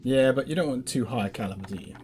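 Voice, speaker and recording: A man's voice: medium pitch, fast, a little mellow. Playful man chatting over game music. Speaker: gaming video co-host playing the game. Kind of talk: casual chat while playing a game.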